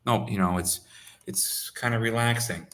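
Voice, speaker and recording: An American man tutoring English over a video call, speaking simply and clearly for a learner. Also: on the low side, a bit bright. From United States. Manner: slow, simple phrasing for a learner. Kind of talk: casual conversation lesson. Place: home in Saudi Arabia.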